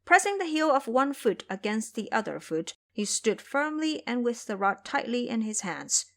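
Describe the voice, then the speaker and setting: A woman narrates a scripted tale for an audio drama. Her delivery is dramatic, with highly animated intonation that rises and falls. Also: moderate pace.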